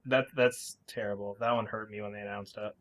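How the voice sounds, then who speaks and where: A man's voice: on the low side, fairly even intonation, fairly quick, a bit bright. A man co-hosting a podcast, arguing his opinions in casual, conversational speech. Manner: opinionated, argumentative. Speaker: podcast co-host.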